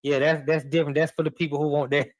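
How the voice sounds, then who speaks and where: A man's voice: fairly high pitch, little pitch movement, fast talker, no room sound. Loose-talking man. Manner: loose and encouraging, many restarts and "you know". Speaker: podcast guest and host. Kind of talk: casual sports talk. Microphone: remote live stream.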